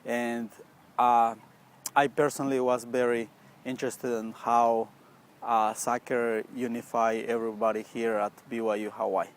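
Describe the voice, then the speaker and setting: A young man from Guatemala talks at a middle pitch, hesitant and halting, filling gaps with small pauses. He introduces himself plainly and casually as a student. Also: flat delivery. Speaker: anthropology student.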